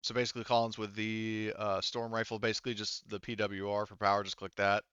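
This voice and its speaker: Guy running an online rpg, smooth voice, kinda halting working out rules, dry lo-fi mic. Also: on the low side, little pitch movement, a bit bright, no echo. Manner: halting, thinking aloud through rules. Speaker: game master. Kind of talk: running an online tabletop roleplaying game.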